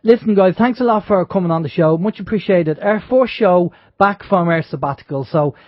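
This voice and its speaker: A man's voice: high-pitched, moderate pace, dry recording. An Irish man hosting an internet radio talk show, chatting casually and rambling with plenty of fillers and restarts. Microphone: studio microphone. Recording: dull, lo-fi internet radio audio.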